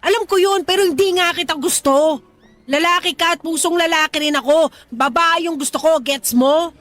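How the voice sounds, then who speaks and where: A woman's voice: extremely high pitch, moderate pace, fairly bright tone. A woman acting a brusque, irritable tomboyish young woman in a radio drama, snapping curt, scornful retorts.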